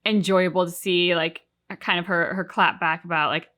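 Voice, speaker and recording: Bright-voiced woman musing over game story. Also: fairly low pitch, fairly even intonation, moderate pace. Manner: thoughtful, analytical, with frequent "like" fillers. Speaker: co-host of a game reaction channel. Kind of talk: casual discussion reacting to game cutscenes.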